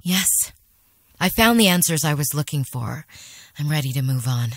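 A determined woman explorer in a game. She is wry and informal, with a lowish, very animated voice, recorded close and dry. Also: normal speed, no room sound. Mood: resolved, reflective. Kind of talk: video game voice acting.

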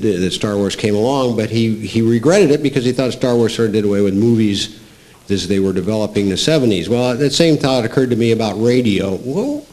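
A man's voice: fairly low pitch, darkish tone, rough recording. An American man hesitantly asking a casual question as an audience member. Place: audience in a meeting room. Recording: faint noise in a meeting room.

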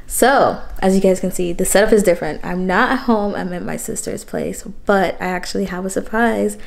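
Young woman vlogging, slight gravel. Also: mid-range voice, brisk. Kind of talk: casual vlog chat. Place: bedroom at her sister's place. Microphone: phone camera at arm's length.

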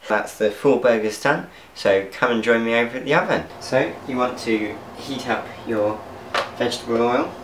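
A man's voice: mid-range voice, moderate pace, hardly any pauses, noisy background. A young man chatting as he cooks in his home kitchen, laughing at his own mistakes and talking to viewers. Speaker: cooking vlogger. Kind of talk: casual cooking commentary. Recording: echoey kitchen, faint clatter of pans.

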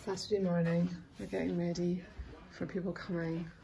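A woman's voice: fairly low pitch, little pitch movement, moderate pace, gravelly, music in the background. A woman in her forties filming her family on a phone, giving a playful running commentary outdoors and in the car. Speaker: vlogger and mother. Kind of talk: casual family vlog narration. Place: outdoors on a beach, then in a car. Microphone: handheld phone camera. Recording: noisy wind and outdoor background.

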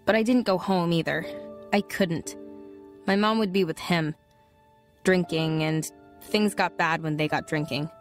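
A woman's voice: on the low side, fairly even intonation, measured pace, pauses often, soft background music. A woman voicing a wayward teenage girl who narrates and talks to an old man, hesitant and apologetic in scenes, in an audio drama.

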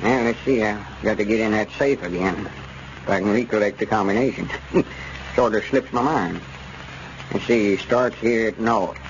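An actor playing a stubborn, suspicious old rural justice of the peace in a radio comedy, with a folksy Southern accent. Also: male voice, on the low side, normal speed, close to an everyday voice. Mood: hesitant, folksy. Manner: folksy, rustic, ungrammatical speech. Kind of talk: 1930s radio comedy acting. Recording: muffled, noisy old radio broadcast.

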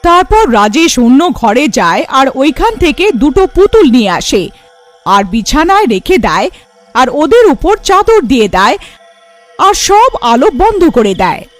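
Woman narrator, high pitch, animated intonation, scripted horror cartoon storytelling, prominent music. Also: measured pace.

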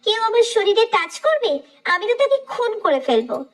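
A woman's voice: extremely high pitch, sing-song. A woman voicing a feisty, playful young woman, a sheltered daughter, talking cheekily and dramatically. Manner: cheeky, dramatic, quick-tongued. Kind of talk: romantic audio story acting.

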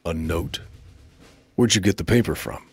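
An actor playing a stern, skeptical military officer in a video game, questioning a prisoner in a dry, formal way. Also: male voice, low-pitched, normal speed, breathy edge, faint music behind, full character voice.

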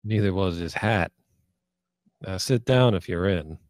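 A menacing, crude gambler rules the poker table, voiced by a man in a film table read. His voice sits fairly low and very dry, and he talks in curt, profane commands. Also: measured pace, dry recording. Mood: mocking, commanding.

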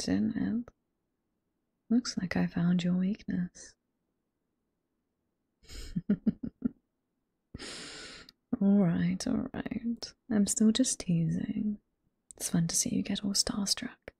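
A woman with a British accent playing a flirty, teasing, sweet head librarian boss in a whispered ASMR roleplay, soft and coy, close to the microphone. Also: mid-range voice, creaky, slightly rough, dry recording, full character voice. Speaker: voice performer in roleplay.

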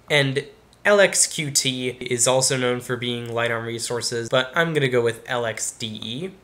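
A young man with a casual, explanatory manner walks viewers step by step through a software install in a tutorial. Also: medium pitch. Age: young adult. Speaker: tech YouTuber. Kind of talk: screen-recorded tutorial walkthrough. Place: small room indoors.

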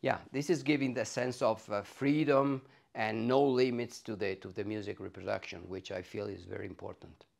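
Male, middle-aged, Italian accent, low register, halting technical explainer on a lapel mic. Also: steady tone, vocal fry. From Italy. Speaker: loudspeaker designer being interviewed. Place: carpeted home listening room, indoors. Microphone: clip-on lapel mic.

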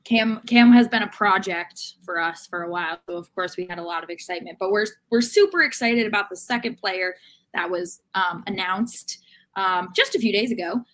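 A woman's voice: mid-range voice, moderate pace. A young woman co-hosting a casual sports chat from a room at home on a video call. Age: young adult. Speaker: sports podcast co-host.

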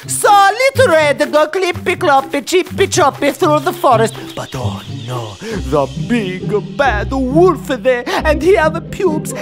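An old Italian man telling a comic fairy tale in a thick Italian accent. He rambles crudely and theatrically, drawing words out in a slow, sing-song swoop. Music plays behind him. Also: very high voice, sing-song, full character voice. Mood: animated. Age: older. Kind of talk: animated comic storytelling in broken English.

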